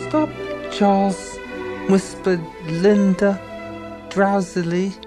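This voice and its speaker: A woman's voice: medium pitch, little pitch movement, over music, natural voice. A woman playing a literal-minded reader in a radio comedy, stumbling as she sounds out words of romance fiction. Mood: halting reading. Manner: halting reading, sounding words out.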